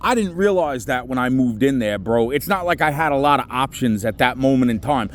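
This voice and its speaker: A man's voice: mid-range voice, lively intonation, brisk. A middle-aged man on a phone call, venting in an agitated, rambling way with heavy swearing. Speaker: caller reporting to police. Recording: noisy phone call, traffic sounds behind.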